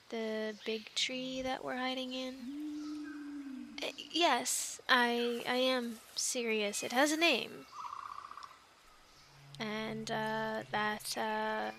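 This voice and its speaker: A woman's voice: on the high side, a little hiss or hum, full character voice. A woman playing a quirky, awkward young animal scientist stranded on an island, stammering and rambling in an ASMR audio roleplay. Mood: wary, explaining. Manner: stammering, rambling, flustered, urgent hushing in whispers.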